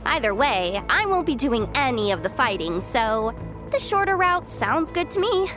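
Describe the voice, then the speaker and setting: A woman's voice: sits high, very animated, moderate pace, over music. A woman voicing a cheeky, scheming young treasure hunter in a video game, chatty, dramatic and easily flustered. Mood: cocky, explaining. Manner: chatty, dramatic, self-talking.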